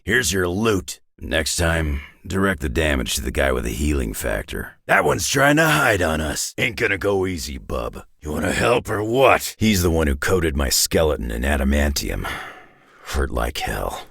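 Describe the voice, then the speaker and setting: An actor playing a gruff, sardonic, battle-hardened male superhero in a video game, growling tough-guy slang in a raspy North American accent. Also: a bit bright, dry recording, studio quality, exaggerated voice. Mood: brusque, dry. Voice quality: very deep, gravelly, raspy growl. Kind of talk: video game character voice lines.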